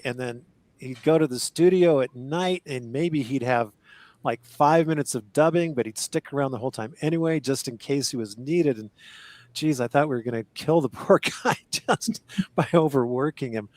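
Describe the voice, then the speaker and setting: A man's voice: fairly high pitch, moderate pace, a little mellow, dry recording. An older man, an actor guest on a casual podcast, rambling through memories with frequent restarts and laughter, speaking over a remote call. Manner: rambling, self-correcting, laughs often.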